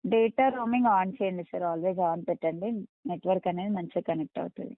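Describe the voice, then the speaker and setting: A woman handles a customer support call at a brisk, quick pace. She is polite, apologetic and reassuring, addressing the caller deferentially. The sound is a narrow, tinny telephone recording, close and dry. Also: lowish voice, no echo. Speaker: customer care agent. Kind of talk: phone customer support, polite scripted phrases. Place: on a phone line.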